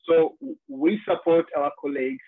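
A man in his forties from Tanzania speaking on a video call as a panelist, halting with many fillers, his pitch jumping high on some words. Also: lively intonation. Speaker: emergency physician, panelist. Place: room with bookshelves indoors. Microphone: video call with earphones. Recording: rough phone-like video call audio.

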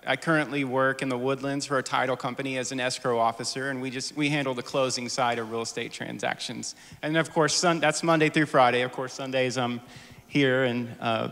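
A man in his forties, a church band guitarist, tells a personal story to a congregation from a stage with a handheld mic, joking and halting with many fillers. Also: medium pitch, fairly bright tone. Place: church stage before an audience. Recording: long hall reverb.

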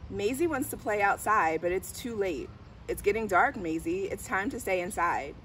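A middle-aged woman reads a children's picture book aloud. Her voice sits at an average pitch. It is picked up outdoors from a short distance, with light ambient noise behind her. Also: lively intonation, moderate pace, a bit bright. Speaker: school diversity director reading aloud. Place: outdoors on a garden bench under trees. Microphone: camera a few steps away. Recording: distant camera mic, outdoor background noise.

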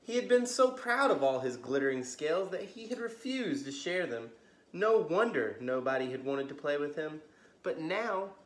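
An American man in his thirties hosting a children's story time, reading aloud expressively with gentle asides to young listeners. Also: a little high. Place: living room, on a couch indoors. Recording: roomy, echoey home recording.

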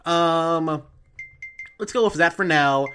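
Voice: mid-range voice, takes it slowly, fairly bright tone, a little hiss or hum. A gaming host thinking aloud in casual, chatty let's play commentary.

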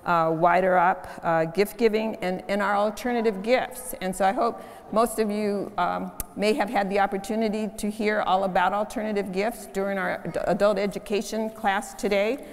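An older woman leading a church service, giving announcements and praying in a slow, measured way. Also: medium pitch, fairly even intonation. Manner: slow and measured, solemn in prayer. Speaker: worship leader. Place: large church sanctuary. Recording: long church reverb.

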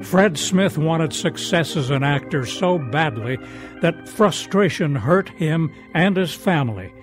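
Higher-pitched male promo announcer over music. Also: expressive, measured pace. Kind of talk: scripted radio drama promo narration.

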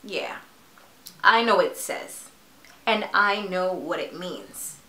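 A woman playing a grieving, defensive mother in a halting, emotional dramatic monologue addressed to a doctor. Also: fairly low pitch, lively intonation, pushed and bright, heavily acted. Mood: bitter, wistful. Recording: echoey room.

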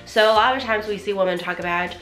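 A woman's voice: medium pitch, fast, faint music behind, rough recording. Chatty woman with a strained, fading voice. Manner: animated, chatty, gestures a lot, many "like" fillers. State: losing her voice. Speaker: vlogger. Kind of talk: casual talk to camera. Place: small bedroom indoors.

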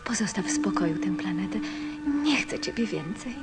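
A woman's voice: mid-range voice, animated, moderate pace, lightly acted. A woman playing a vain, capricious talking rose, proud yet tender, in a theatrical radio-drama manner. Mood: proud, insistent. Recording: music behind the voice. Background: music.

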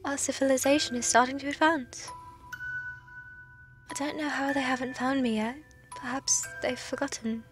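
Actress as undead girl logging her lonely days, high voice, hesitant, soft music under it. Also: fairly even intonation, normal speed, close to an everyday voice. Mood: confused, fearful. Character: lonely undead woman, lovelorn, forgetful, desperate. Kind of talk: audio drama log monologue.

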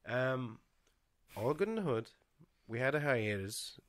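A man in his thirties hosting a casual podcast from a small home studio on a boom microphone, swearing freely and laughing often. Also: unhurried, dry recording. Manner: swears often, laughs a lot, animated hand gestures. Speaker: podcast host. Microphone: headphones and studio mic on boom arm.

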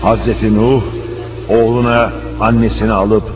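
A man's voice: on the low side, level tone, normal speed. A man narrating a religious cartoon in a solemn, declamatory way, reciting quoted speeches. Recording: muffled audio under prominent music.

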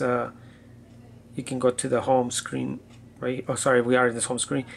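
A man giving a casual, unscripted gadget demo indoors, thinking aloud a bit hesitantly as he goes. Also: medium pitch. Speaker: gadget reviewer.

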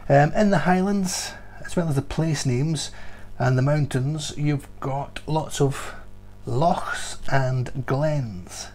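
A man's voice: fairly high pitch, slow, a little mellow. A middle-aged man with a Scottish accent, talking in an informal, explanatory way as a tour guide presenting to camera. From Scotland. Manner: explanatory, conversational. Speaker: tour guide and vlog host. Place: small furnished room indoors. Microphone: clip-on lapel mic.

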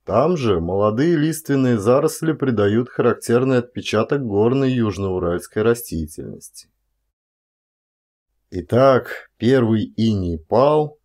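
A man reading literary prose as an audiobook narrator, with measured phrasing and drawn-out emphasis on certain words. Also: lowish voice, darkish tone.

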